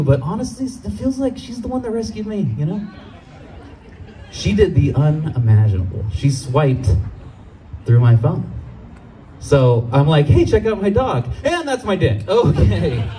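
A young man doing stand-up into a handheld mic on an outdoor stage, his voice a touch high and mellow. He is animated and flips into high-pitched voices for the people he quotes. A faint outdoor hum sits behind him. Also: poor audio. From Los Angeles. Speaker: stand-up comedian. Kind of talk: stand-up comedy set.

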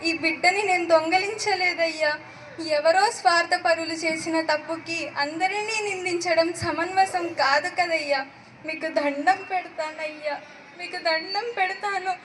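A woman's voice: very high voice, a bit bright, a little hiss or hum, low-quality recording. A woman voicing a destitute, pleading woman in an audio drama, crying and begging as she talks. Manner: tearful, pleading, wailing. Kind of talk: melodramatic audio drama acting. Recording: background music under voice.

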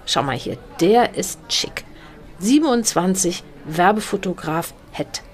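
A woman voicing a picky, excitable young woman who judges possible dates. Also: fairly low pitch, a little slow. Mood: excited.

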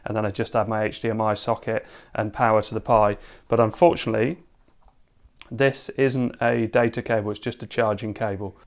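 A man's voice: on the low side, little pitch movement, a little fast. A man casually narrating a hands-on tech demo at home, thinking aloud with plenty of fillers. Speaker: tech video host. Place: home room, at a dining table.

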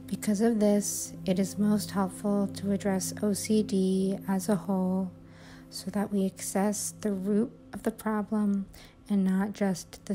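A woman guiding a sleep hypnosis and meditation in a soothing, unhurried, measured way. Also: medium pitch, warm tone. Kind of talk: guided sleep hypnosis, affirmations. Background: music.

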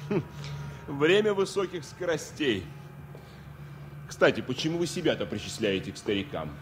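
An actor playing a brisk, confident man of twenty-eight in love in a 1970s radio play. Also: a little high, very animated, a little hiss or hum. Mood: breezy.

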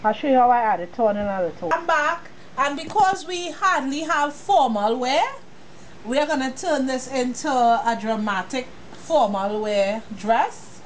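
A woman's voice: high voice, lively intonation, a little slow, slight background noise, low-quality recording. Chatty woman with a cold teaching sewing. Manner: chatty, talks straight to the viewer. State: has a cold, sniffling. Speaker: sewing tutorial host. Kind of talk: casual step-by-step sewing tutorial.

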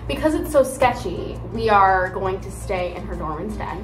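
An eighteen-year-old woman vlogging into a phone held at arm's length, chatting casually with a sarcastic, deadpan edge. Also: mid-range voice, moderate pace, faint music behind, poor audio. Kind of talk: casual vlog chat. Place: car, then small hotel room.

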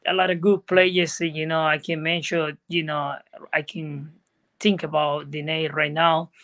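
A man's voice: a little high, flat delivery, a little slow, a bit bright. A middle-aged man from Venezuela with a Venezuelan Spanish accent, a native Spanish speaker, speaking earnestly in plain non-native English as a guest on a video call from a room indoors. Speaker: guest jockey. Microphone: video call from laptop.

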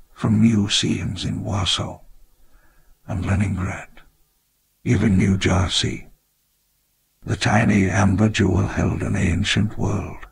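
An actor playing a wistful elderly park founder, narrating his memoir in measured, reflective storytelling. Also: lowish voice, creaky, breathy edge, full character voice. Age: older. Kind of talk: scripted memoir narration in a game.

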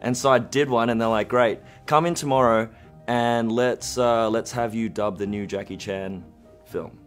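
A man's voice: medium pitch, moderate pace. A man with an Australian accent, an actor telling stories in a studio interview, acting out quotes. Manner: animated storyteller, acts out quotes. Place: studio, colored backdrop. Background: faint music.

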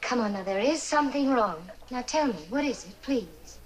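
Actress as a high-voiced concerned lover. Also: female voice, animated, normal speed, a little hiss or hum, close to an everyday voice, poor audio. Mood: worried. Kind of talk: 1970s television crime drama acting.

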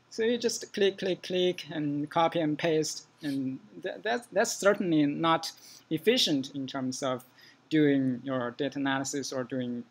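A young man from China with a Chinese accent, giving a modest, halting technical talk at a user group meeting. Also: on the high side. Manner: modest, hesitant restarts. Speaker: conference speaker. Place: meeting room.

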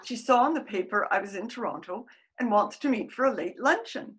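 An older woman playing a genteel, polite 1908 suffragist in a radio play, with an expressive, mannered delivery. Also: medium pitch, brisk, lightly acted. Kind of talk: period radio play acting. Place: at home on a video call.

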